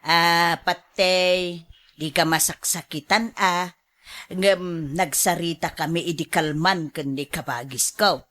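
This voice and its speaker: Slow-talking, teasing young Filipina actress in a drama. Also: female voice, lowish voice, steady tone, fairly bright tone. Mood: gossipy, discouraging. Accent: Ilocano.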